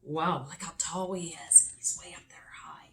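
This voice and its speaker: A woman reading a picture book aloud expressively for children, with deliberate emphasis. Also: deep voice, steady tone, a bit bright, whispered. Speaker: children's story reader.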